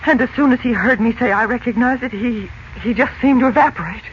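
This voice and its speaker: A distressed mother in a vintage radio serial, played by an actress. Her voice sits high and carries worry about her missing husband. The recording is dull and faintly noisy. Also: fast talker, lightly acted. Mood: anxious, upset. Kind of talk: 1940s radio acting.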